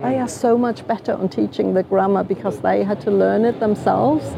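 A woman's voice: mid-range voice, fairly even intonation, moderate pace, creaky, noisy background. A middle-aged German-born woman talking about language teaching in an interview, thoughtful and hesitant, with frequent fillers. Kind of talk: casual interview conversation.

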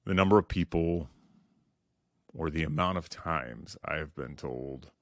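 A middle-aged man with a deep voice hosting his own podcast, talking candidly and earnestly with many restarts and "you know"s. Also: measured pace, no echo, studio quality. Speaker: podcast host. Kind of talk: reflective solo podcast monologue.

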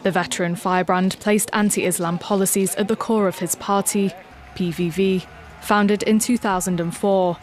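A woman's voice: medium pitch, fairly even intonation, moderate pace. A woman narrating a scripted news report as a broadcast reporter.

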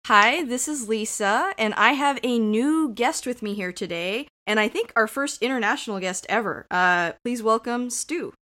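A woman's voice: a little high, normal speed, few pauses, bright, forceful tone. Woman podcast host, upbeat, conversational. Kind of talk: casual podcast chat.

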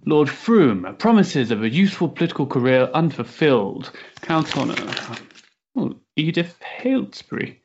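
Actor as a higher-voiced, restless Victorian gentleman. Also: sing-song, normal speed, husky, dull audio, full character voice. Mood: bitter, mocking. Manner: hesitant, polite, mannered upper-class diction. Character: restless, sensitive young Victorian gentleman politician. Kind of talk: period stage-play acting.

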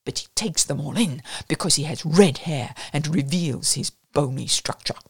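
A woman's voice: low voice, moderate pace, husky, heavily acted. Woman playing a scornful, pompous male music snob. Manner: scornful, opinionated. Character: dismissive, pompous fat bald avant-garde music snob, male.

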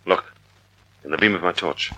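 Smooth-mannered brave detective, cool under pressure, fairly low but sharp voice, old time radio drama. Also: male voice, moderate pace, no echo, rough recording. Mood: resolute. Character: suave, resolute gentleman sleuth, calm amid danger. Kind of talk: 1940s radio drama acting.

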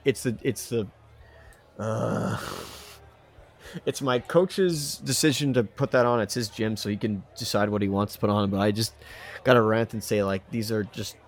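Rambling man on a casual podcast. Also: fairly low pitch. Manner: rambling, halting, repeats himself. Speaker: podcast co-host. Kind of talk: casual banter and ranting.

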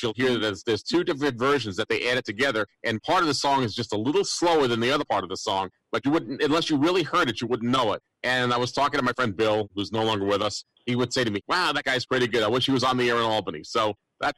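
A man's voice: medium pitch, fairly bright tone, dry recording, rough recording. A man hosting a radio talk show, speaking conversationally as he introduces and discusses programs. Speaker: internet radio show host.

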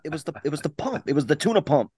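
A man's voice: fairly high pitch, animated, rapid-fire, a little mellow, husky, dry recording, clean studio sound. Rowdy crude-joking man from Louisiana. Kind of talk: casual chat.

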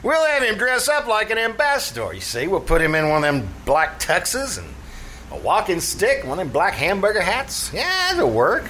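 A man's voice: high-pitched, wildly swooping pitch, normal speed, bright, forceful tone, heavily acted. An actor playing a scheming, blustering tavern manager who mangles his English in a folksy, pompous way, in old-time radio comedy style. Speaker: troupe actor. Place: radio theater stage. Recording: live stage show, faint noise.

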